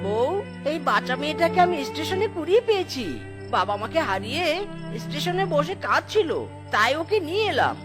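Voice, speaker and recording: A woman's voice: sits high, sing-song, a little fast, over music. A woman voicing a kind, caring father figure in a children's cartoon. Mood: gentle. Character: kind fatherly male animal, caring husband. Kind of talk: cartoon voice acting.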